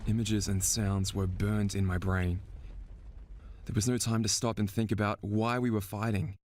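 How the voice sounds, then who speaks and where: A man's voice: lowish voice, level tone, normal speed, darkish tone, slight background noise, close to an everyday voice. A man playing a somber, resolute warrior narrating his memories in a game fandub. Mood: grave, resolute. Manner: solemn, earnest. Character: somber, resolute young warrior recalling a war. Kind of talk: dramatic scripted game narration.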